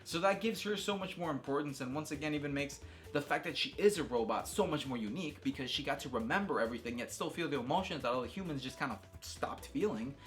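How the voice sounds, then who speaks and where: Male video host, slightly high voice, excitable slangy delivery, off-the-cuff gaming commentary over music. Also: fast, few pauses. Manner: enthusiastic, slangy, with emphatic exclamations. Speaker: YouTube host reviewing a video game. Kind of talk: casual, unscripted video game commentary.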